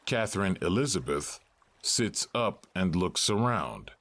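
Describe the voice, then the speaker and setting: A man narrating stage directions for a drama in a measured, matter-of-fact way. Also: sits low, very animated, a bit bright.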